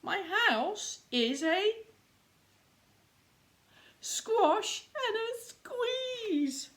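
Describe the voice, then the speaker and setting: A woman voicing a flustered little old lady from a storybook, plaintive and dramatic, with drawn-out exclamations. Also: very high-pitched, sing-song, a bit bright, voice pushed up, full character voice. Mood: frantic, pleading.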